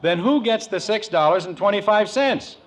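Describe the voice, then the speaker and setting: Exasperated, lively American sitcom dad. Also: male voice, high voice, moderate pace. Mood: suspicious, sardonic. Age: middle-aged. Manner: dry sarcasm, sputtering indignation. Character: exasperated, sarcastic suburban father and husband. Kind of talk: 1950s radio sitcom acting. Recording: noisy old radio broadcast recording.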